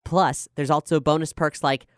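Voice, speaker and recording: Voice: mid-range voice, steady tone, normal speed, clear, resonant tone, no echo, studio quality. A podcast showrunner and host talking casually with guests, then reading out an earnest promotional announcement to listeners.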